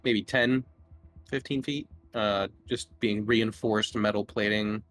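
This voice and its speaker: A man's voice: on the low side, fairly even intonation, slow, a bit bright, faint music behind. A man running a tabletop roleplaying game as game master, narrating casually.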